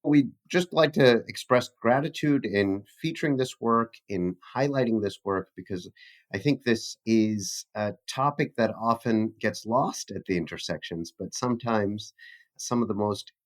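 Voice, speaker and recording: A man answering as a physician researcher in a formal interview, measured and articulate with academic wording. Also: on the low side.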